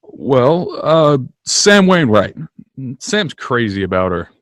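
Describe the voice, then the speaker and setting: Guy doing earnest small-town young man w big dreams, folksy halting old radio drama style, close dry sound. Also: medium pitch, big pitch swings, dry recording. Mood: wry, resigned. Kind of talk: old-time radio theatre acting.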